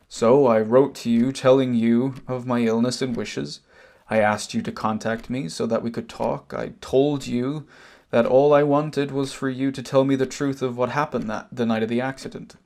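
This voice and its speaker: An amateur actor with an Irish accent playing a wronged older brother back from abroad, telling his story in a deliberate, pointed way. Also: mid-range voice, vocal fry, a little mellow, close to an everyday voice. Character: wronged older emigrant brother, cancer survivor, measured, bitter. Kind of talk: amateur stage drama acting, long monologue.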